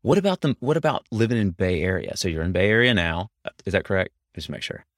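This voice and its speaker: Man hosting a podcast, very deep voice, casual rambling questions, dry close sound. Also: expressive, fast talker, dry recording. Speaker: podcast host. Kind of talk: conversational interview.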